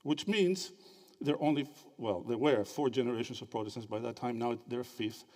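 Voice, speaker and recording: A man from Greece giving a lively, interactive theology lecture and quizzing his audience with quick questions. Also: mid-range voice. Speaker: theology speaker at a symposium. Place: lecture hall. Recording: reverberant hall.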